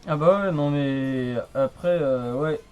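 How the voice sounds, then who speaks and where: A man's voice: fairly high pitch, slow pace, clear, resonant tone, dull audio. A young man chatting casually over the radio in a rambling, repetitive, colloquial way. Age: young adult. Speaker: radio hobbyist, channel host. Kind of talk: casual radio contact chat.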